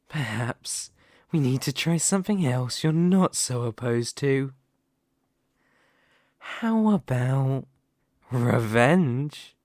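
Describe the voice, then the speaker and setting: A man playing a dominant, gloating, slightly unhinged demon lord in a fantasy ASMR roleplay, taunting and menacing with drawn-out words. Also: mid-range voice, no room sound, clean studio sound. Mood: coaxing, persuasive.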